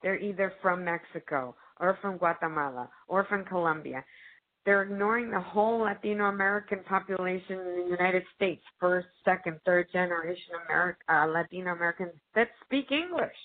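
A woman's voice: lowish voice, a little fast. A woman actress as a guest in a casual discussion, talking earnestly and emphatically with many fillers. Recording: narrow phone-like audio.

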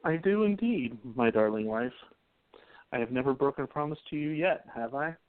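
Man as loving young husband, lively high-ish voice, tinny. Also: normal speed, close to an everyday voice. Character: young male newspaperman, loving and devoted. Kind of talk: amateur radio play acting. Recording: tinny phone-like sound.

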